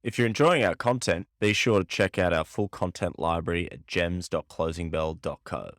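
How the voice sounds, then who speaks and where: Male podcast host, very low voice, upbeat intro, chatty questions, dry sound. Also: moderate pace, fairly bright tone, no echo. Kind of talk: hosting an interview.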